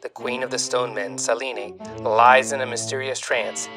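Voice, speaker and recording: A man's voice: medium pitch, fairly even intonation, moderate pace. A man presenting a scripted podcast review segment in a theatrical, tongue-in-cheek way, posing rhetorical questions. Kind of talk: scripted magazine-review narration. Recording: music bed under the voice. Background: guitar music.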